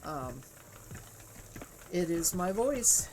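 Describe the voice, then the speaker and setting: A woman with a soft, calming voice chats slowly and easily as she spins yarn at home. Her pitch sits a little low and her talk never hurries. A clear background noise runs behind her throughout. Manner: unhurried, chatty, laughs easily. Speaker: livestream host spinning yarn. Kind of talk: casual chat with live viewers. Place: home crafting room with spinning wheel.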